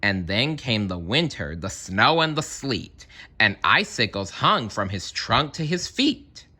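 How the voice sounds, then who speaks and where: A man narrates a children's story in a very bright, forceful voice. His pitch moves animatedly, and he takes his time over phrases in a theatrical, deliberate way. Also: sing-song. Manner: theatrical, deliberate, with playful asides. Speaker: storyteller reading aloud to children.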